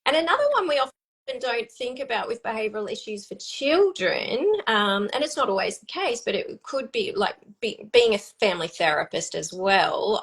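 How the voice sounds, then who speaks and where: A woman with an Australian accent, a family psychologist explaining children's behaviour in a relaxed interview, slipping into quick voices of parents and kids. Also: medium pitch, slightly rough. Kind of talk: conversational interview on a livestream. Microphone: video call.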